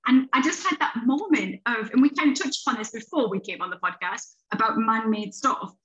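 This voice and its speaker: A woman's voice: fairly high pitch, fairly quick, fairly bright tone. Rambling woman in her thirties, slightly muffled. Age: 30s. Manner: rambling, restarts often, laughs while talking. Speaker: podcast guest. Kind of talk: casual conversation.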